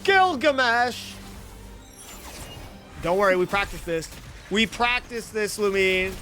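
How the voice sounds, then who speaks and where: Casting: guy streaming games, bright high voice, slangy and playful, swearing freely, music behind. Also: expressive, slow. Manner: slangy, playful, profane, hype reactions. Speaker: game streamer. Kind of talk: casual live gameplay commentary.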